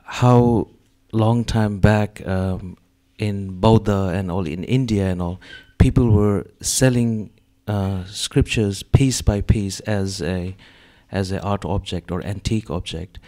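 Hesitant low-voiced man on a gallery panel. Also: slow, few pauses, mellow. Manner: hesitant, many fillers. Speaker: panel artist. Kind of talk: panel discussion. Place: gallery room with audience. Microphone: handheld mic.

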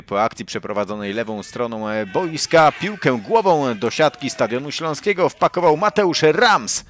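A man's voice: mid-range voice, slight background noise. A man reading a scripted voice-over for a youth football match report, lifting his voice at goals and chances. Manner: lively commentary, rising at goals and chances.

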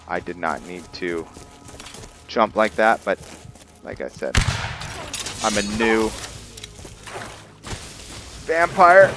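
A man giving casual roleplay commentary on a video game, musing and thinking aloud as he plays. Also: medium pitch. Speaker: gaming video host and player. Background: game music.

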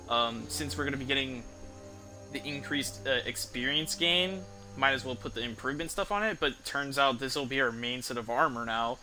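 A young man giving casual gameplay commentary in a rambling, halting way, streaming from an indoor room. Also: mid-range voice. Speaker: game streamer. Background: game music.

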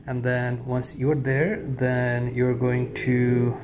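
A man hosting a tech tutorial, talking casually off camera in an indoor room with frequent fillers and pauses. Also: medium pitch, background noise. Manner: relaxed, halting with frequent "uh" fillers. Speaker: tech video host.

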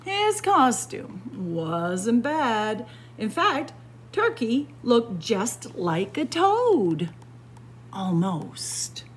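A woman reading a children's picture book aloud indoors as a library storyteller, in an expressive, deliberate manner. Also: fairly high pitch, slight background noise. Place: indoor room with bookshelves, library.

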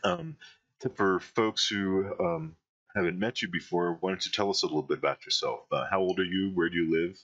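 A man's voice: low-pitched, expressive, brisk, a bit bright, muffled recording. A man hosting an interview, friendly and chuckling, with many fillers. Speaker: podcast host. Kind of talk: casual interview chat.

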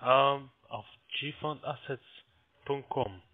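A man working as a call centre account agent, speaking politely and haltingly on a sales call. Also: mid-range voice. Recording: tinny phone line.

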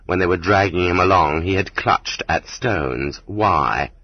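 A man reading an audiobook as scripted literary narration, in a measured, dramatic manner. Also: sits low, breathy edge, dry recording. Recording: slightly dull, muffled audiobook recording.

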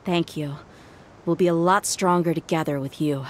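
An actress playing a tough, steady young mercenary woman in a video game, speaking firmly and matter-of-factly. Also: low voice, normal speed, a little hiss or hum, lightly acted. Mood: firm, sincere.

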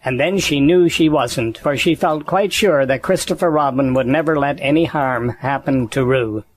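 A man's voice: mid-range voice, normal speed, slight background noise. A man narrating a children's storybook audio drama in a scripted reading style. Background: music.

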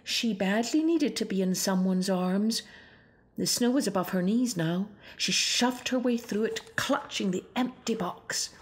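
A woman reads a children's picture book aloud as a storyteller. Her voice sits at a middle pitch, and she delivers the tale expressively, as scripted narration for young listeners. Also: a little slow. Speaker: storybook reader.

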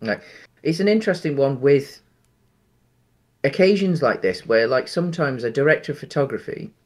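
A man's voice: medium pitch, animated, moderate pace. A man, co-hosting a casual film chat over a video call, rambling through restarts. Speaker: podcast co-host. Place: home room on a video call.

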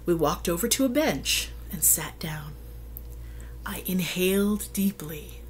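A middle-aged woman reading a picture book aloud to children, with dramatic pauses and hushed emphasis. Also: lowish voice, expressive. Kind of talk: expressive read-aloud for children. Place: indoor room at home. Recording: faint background room noise.

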